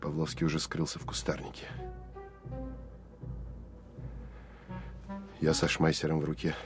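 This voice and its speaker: An actor playing a hardened, sardonic counterintelligence officer who tells of an armed pursuit in an intense, expressive first-person audio drama. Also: male voice, rumbling low. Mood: tense, controlled. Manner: intense, expressive storytelling with wry asides.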